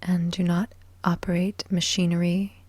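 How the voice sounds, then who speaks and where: A woman guiding a sleep hypnosis in ASMR style, soft, breathy and whispery, soothing and measured, with long pauses between short phrases. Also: on the low side, little pitch movement, no echo. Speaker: hypnosis and meditation guide.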